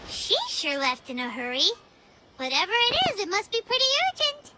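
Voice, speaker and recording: A woman voicing a tiny floating childlike female companion in a video game, chirpy and chatty, with a high squeaky voice. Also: sing-song, moderate pace, heavy background noise. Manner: chirpy, refers to herself in the third person. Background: game music.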